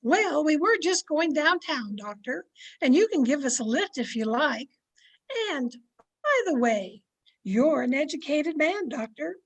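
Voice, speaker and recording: A woman playing a sensible, chipper small-town wife in old-time radio comedy, polite and gently chiding. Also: high-pitched, big pitch swings, unhurried, full character voice, poor audio. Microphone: video call microphone.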